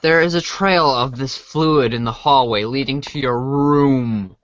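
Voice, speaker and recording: A man's voice: mid-range voice, a little slow, no room sound, lo-fi sound. A man narrating a scripted read-along storybook in the second and third person.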